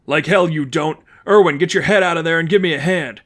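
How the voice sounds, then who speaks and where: A man voicing a big, hot-tempered male henchman with a gruff, blunt, agitated manner in a storybook reading. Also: a little high, a little fast, fairly bright tone, pitched above the performer's own voice. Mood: alarmed.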